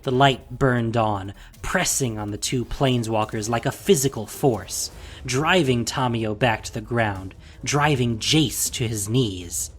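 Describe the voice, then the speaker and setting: A man narrating a scripted fantasy audio drama in a dramatic, deliberate way, with a breathy voice. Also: medium pitch.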